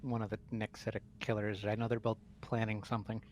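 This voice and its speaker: A man's voice: on the low side, little pitch movement, brisk, darkish tone. A man in casual gaming chat, explaining things to friends.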